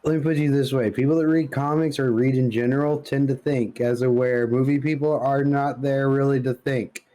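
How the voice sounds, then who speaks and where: A man's voice: medium pitch, fairly even intonation, moderate pace, few pauses, mellow. A man co-hosting a podcast in loose, casual chat, peppering his talk with slang. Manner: informal, loose, says dude and like often. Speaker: podcast co-host. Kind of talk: casual chat about comics and films.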